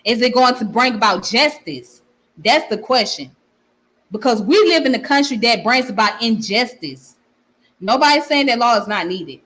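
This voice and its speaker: Southern-accented woman hosting a live chat, slightly high voice, repeats herself. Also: lively intonation, normal speed, a bit bright, rough recording. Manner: repeats phrases, talks to viewers and reads comments. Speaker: channel host. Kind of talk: casual livestream commentary.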